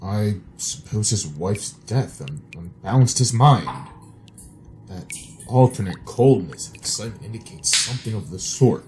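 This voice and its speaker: Man playing animated, reasoning gentleman captive. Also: fairly low pitch, unhurried, slight background noise, rough recording. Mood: grave. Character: wealthy, reasoning husband trying to bargain. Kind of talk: old-time radio thriller acting.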